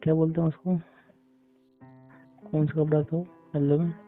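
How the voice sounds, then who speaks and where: A man chatting casually and intimately on a phone call, halting as he searches for words. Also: fairly high pitch, level tone. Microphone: phone call recording. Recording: tinny phone line, music behind.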